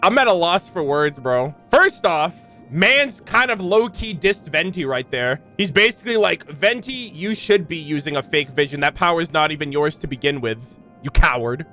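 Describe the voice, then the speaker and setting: Male reaction host, high-pitched, bright, casual slang, exclamatory commentary, faint music in background. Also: animated, normal speed.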